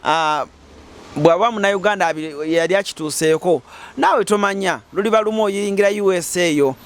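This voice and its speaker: Male host, brisk and slightly high, playful interviewer. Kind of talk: casual interview, mixing Luganda and English.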